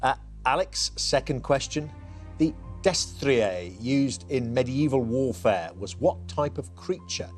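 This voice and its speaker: A man's voice: mid-range voice, lively intonation, takes it slowly, soft background music. A man hosting a TV quiz show, chatty and teasing as he reads the questions. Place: TV studio.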